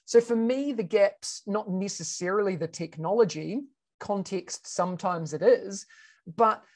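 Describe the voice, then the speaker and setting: A man's voice: high voice, a little slow. A man in his thirties with a New Zealand accent, speaking on a video-call panel as an education consultant, filling his talk with "you know" and restarts. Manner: conversational, frequent "you know" fillers and restarts. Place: home room. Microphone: video call webcam.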